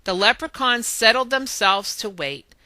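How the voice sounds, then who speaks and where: A woman reading a children's story aloud in expressive narration. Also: fairly high pitch, measured pace. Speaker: storybook reader.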